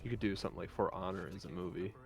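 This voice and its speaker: A man's voice: deep voice, racing, soft background music. A man speaking casually and a little tentatively as a panelist in a streamed group discussion. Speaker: panelist on a live-streamed round table.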